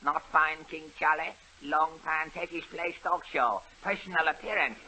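A man's voice: on the high side, steady tone, moderate pace, sharp tone. A man playing a bumbling detective in a 1930s radio comedy who speaks in clipped broken sentences and chuckles at his own puns. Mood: pompous. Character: bumbling male pidgin-talking detective Longpan. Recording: old noisy radio broadcast.

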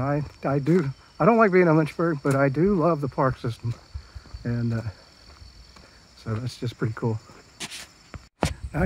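A man's voice: a little high, darkish tone, a little hiss or hum, rough recording. Middle-aged man, casual phone-camera walk-and-talk in a park; folksy, rambling, restarts often. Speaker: park walk-through vlogger. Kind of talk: casual walking commentary. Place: outdoor city park trail. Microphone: handheld phone camera.

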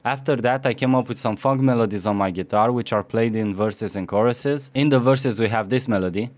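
A man's voice: mid-range voice, fairly quick, a little mellow, faint music behind. A young man from Romania with a Romanian accent, explaining his remix in a casual, self-mocking way from a small home studio on a studio mic. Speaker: music producer. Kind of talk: casual tutorial vlog. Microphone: studio mic on stand.

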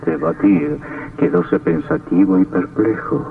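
An actor playing a sardonic, sinister horror storyteller in an old radio drama, speaking with theatrical deliberation. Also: male voice, mid-range voice, sing-song, a little slow, creaky, husky, far from the mic, full character voice. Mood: mocking gloom. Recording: tinny, band-limited old radio with music.

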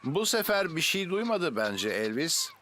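A man voicing a calm, dependable firefighter in a dubbed children's cartoon, reassuring and instructive. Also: a little high, measured pace, slight background noise, exaggerated voice. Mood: calm, urgent. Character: calm, dependable adult male firefighter hero.

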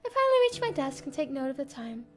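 A woman streamer reading a visual novel aloud as first-person narration, expressive and theatrical. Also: high voice, quick pace. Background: game music.

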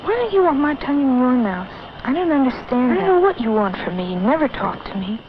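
A woman's voice: sits high, big pitch swings, fast talker. A young woman voicing the anxious inner monologue of a teenage girl on a date, in nervous half-whispers. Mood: pleading. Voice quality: hushed, half-whispered thoughts. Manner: nervous, self-doubting, rambling. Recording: dull old film soundtrack with hiss.

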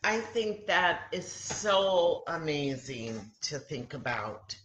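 A woman in her sixties hosting a children's story time, speaking in simple, expressive phrases as she teaches. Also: low voice, takes it slowly, a little hiss or hum. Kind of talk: storytelling and craft lesson for young children. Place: room at home beside a kitchen, indoors. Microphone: laptop or webcam mic at arm's length. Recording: roomy, echoey home webcam sound.

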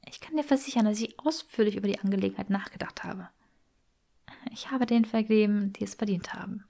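A woman voicing a gentle, forgiving, weary young woman healer in a fiction audiobook, soft, breathy and earnest. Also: medium pitch, a little fast. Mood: weary, firm.

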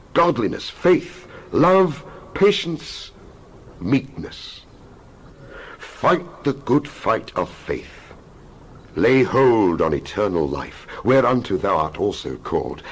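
A man's voice: on the low side, breathy edge. A man giving a dramatized scripture reading with solemn, emphatic, oratorical delivery. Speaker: Bible reader.

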